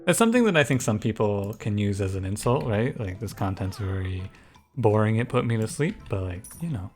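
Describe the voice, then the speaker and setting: Low soft-voiced guy talking through his game, chill and musing, bit of music behind. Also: sing-song, brisk. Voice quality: soft, soothing voice. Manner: low-key, musing, thinks aloud with frequent restarts. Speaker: gaming YouTuber and streamer. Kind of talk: casual gameplay commentary.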